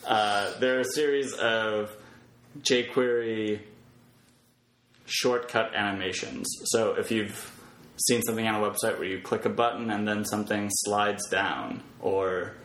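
A man with a fairly low voice explains things casually as an interview guest. He works through his thoughts out loud in a halting way. The room is clearly echoey, with faint noise behind him. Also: steady tone. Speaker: podcast guest, web developer.

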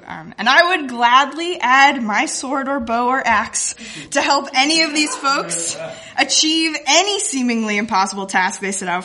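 A woman with a very bright, slightly high voice shares a personal story with a live audience. She speaks as a guest storyteller, with a wry and comic touch to her delivery.

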